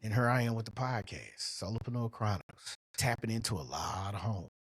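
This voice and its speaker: A middle-aged man with a husky voice hosting a motivational business podcast, speaking in a colloquial, emphatic, punchy way on a close microphone. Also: on the low side, lively intonation, brisk, dry recording. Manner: colloquial, emphatic, punchy delivery with rhetorical questions. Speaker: podcast host and coach.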